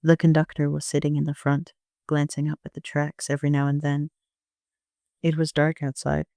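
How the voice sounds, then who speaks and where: A man's voice: on the high side, completely flat delivery, moderate pace, vocal fry, mellow, smooth, no echo, studio quality. A man narrating a first-person audio drama as an amateur reader, in an understated storytelling manner.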